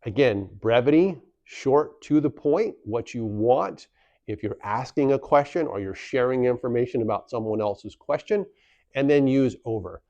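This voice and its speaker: A man's voice: medium pitch, expressive, normal speed, a little mellow, no echo, lo-fi sound. A man presenting an instructional how-to, explaining plainly step by step and repeating key points for emphasis. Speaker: instructional video presenter for a community association.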